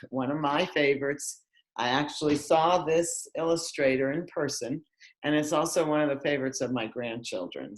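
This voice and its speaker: An older woman reading a story aloud to children with deliberate, expressive delivery. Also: low voice. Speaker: school educator reading to pupils. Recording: echoey room.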